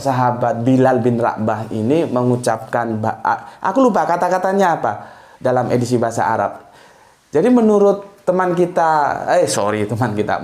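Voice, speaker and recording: A man's voice: fairly high pitch, a little mellow. A man giving a casual religious talk, explaining things in a digressive way, chuckling now and then and tagging his lines with little fillers. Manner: digressive, explanatory, tags lines with "ya" and "gitu loh". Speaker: Islamic speaker. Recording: roomy echo.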